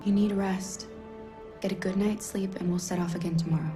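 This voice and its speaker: A young woman voicing a gentle, protective android runaway in a video game, soft-spoken and earnest. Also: medium pitch, fairly even intonation, fairly quick, over music, lightly acted. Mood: tender. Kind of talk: naturalistic cinematic game acting.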